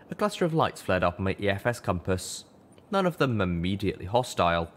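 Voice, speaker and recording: A man with a low voice narrates a fantasy tale. He reads scripted first-person prose as an audiobook storyteller. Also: slight background noise. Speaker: audiobook reader.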